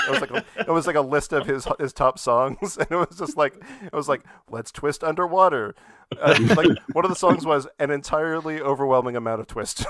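A man's voice: medium pitch, a bit bright, no echo. A man on a podcast panel, chatting casually and reading aloud for comedy.

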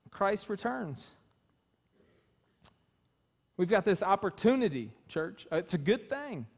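A man in his thirties preaching a sermon as pastor, emphatic and passionate, in a small church. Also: high voice. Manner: passionate, emphatic, gesturing freely while preaching. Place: small church stage with wood-panel walls.

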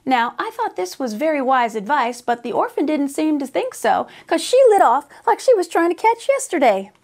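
A middle-aged American woman reading a children's story aloud with expressive, drawling, folksy Western dialect, recorded indoors on a lapel mic. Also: sits high, moderate pace.

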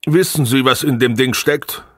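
A man voicing a probing, deliberate male company chairman in an audiobook. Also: mid-range voice, fairly bright tone, dry recording, clean studio sound, pitched above the performer's own voice, close to an everyday voice. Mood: questioning.

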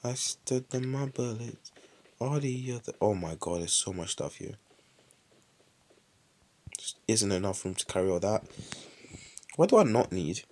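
Young british male streamer, low-pitched, animated delivery, feeling ill, chatty and prone to excited shouts. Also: unhurried, pauses often. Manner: chatty, excitable outbursts, muttering to himself. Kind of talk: live gameplay commentary.

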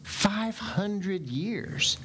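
A man in his forties preaches to his congregation on a headset mic, his voice rising and falling with wide, lively swings. He talks in an animated way, gesturing as he goes. Faint room noise sits under the sanctuary recording. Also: high voice, very slow. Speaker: pastor. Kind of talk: sermon. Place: church sanctuary stage.

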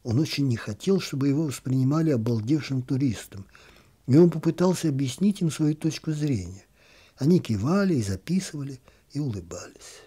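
A man's voice: mid-range voice, expressive, brisk, dark tone, husky. A man narrating a literary short story as an audiobook reader.

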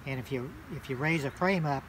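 A man's voice: mid-range voice, fairly quick. Older man teaching outdoors, heavy noise. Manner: patient, explanatory, repeats points. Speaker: beekeeping mentor. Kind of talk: casual hands-on instruction. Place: outdoors in a grassy field. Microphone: handheld camera a short distance away. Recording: very noisy outdoor phone video.

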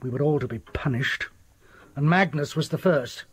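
Husky, haunted old-school doctor. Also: male voice, a little high. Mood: grim, ominous. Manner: halting, theatrical storytelling. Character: haunted, desperate older doctor, sardonic and fearful. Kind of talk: radio drama acting.

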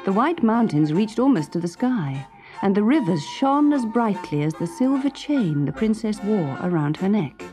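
A woman with a British accent reading a children's story as an expressive narrator. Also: mid-range voice, normal speed, music in the background.

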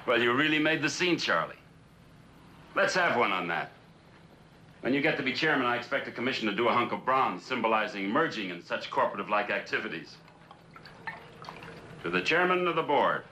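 An actor playing a wry, informal artist friend in a television drama. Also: male voice, medium pitch, big pitch swings, brisk, long pauses, sharp tone, reverberant, a little hiss or hum, rough recording. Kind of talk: 1960s television drama acting.

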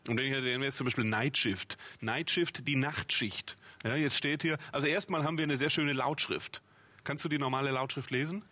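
Husky-voiced man explaining eagerly. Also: medium pitch, a little fast, pushed and bright. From Swabia, Germany. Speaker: memory trainer and author, guest. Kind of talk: animated explanatory interview talk. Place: TV studio at a table.

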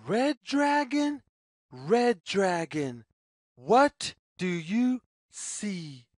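Slow, sing-song woman reading to children. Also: medium pitch, big pitch swings, darkish tone, no room sound, studio quality. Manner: deliberate, sing-song phrasing. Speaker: storyteller reading aloud to children. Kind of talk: read-aloud children's picture book, slow sing-song.

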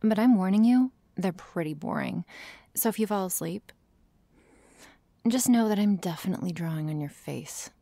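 Woman acting a creaky, mellow tsundere vampire girl. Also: medium pitch, animated, normal speed, no echo. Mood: teasing, sarcastic. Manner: sardonic, hesitant, feigning indifference. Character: tsundere vampire girl, prickly, teasing, secretly lonely. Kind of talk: intimate ASMR roleplay.

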